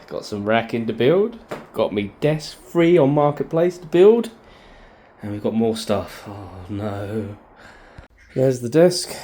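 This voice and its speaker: British male vlogger, mid-pitched, informal video diary delivery, some background noise. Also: a little mellow. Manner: informal, chatty, thinking aloud. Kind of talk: casual video diary, talking while showing the space.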